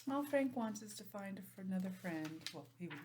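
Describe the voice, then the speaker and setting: Female teacher for children's read-aloud: mid-pitched, animated, plainly explaining, with faint noise on a home recording. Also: a little fast. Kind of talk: storybook read-aloud with chatty asides.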